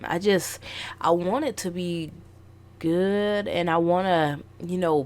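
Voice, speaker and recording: A woman's voice: a bass voice, vocal fry, slight background noise. A young woman artist casually rambling about her goals, stuffy from a flu, with many ums and restarts. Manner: meandering, lots of ums and restarts. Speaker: artist vlogger.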